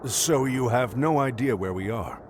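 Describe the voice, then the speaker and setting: An actor playing a bold, impatient admiral in a video game, declaiming and giving orders like a warrior. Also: male voice, mid-range voice, lively intonation, normal speed, slight background noise, close to an everyday voice. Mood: puzzled, resolute. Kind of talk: video game cutscene acting.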